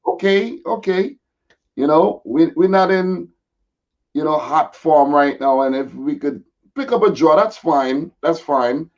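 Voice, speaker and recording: Male football guest, casual chat, slightly raspy, laid-back, many verbal fillers, muffled lo-fi recording. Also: fairly high pitch, lively intonation, unhurried, a little mellow. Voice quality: a little raspy. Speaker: guest football pundit, Manchester City fan. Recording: dull, lo-fi audio.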